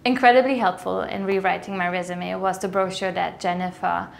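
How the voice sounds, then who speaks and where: A woman's voice: fairly low pitch, steady tone, fairly quick, gravelly. A woman from Germany speaking earnestly in an interview. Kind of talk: personal interview.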